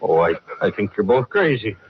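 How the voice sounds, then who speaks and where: An actor playing a stubborn, suspicious frontier hotel builder in a 1950s Western radio drama, blustering and accusatory. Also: male voice, lowish voice, very animated, normal speed. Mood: indignant, suspicious. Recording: noisy, muffled vintage radio recording.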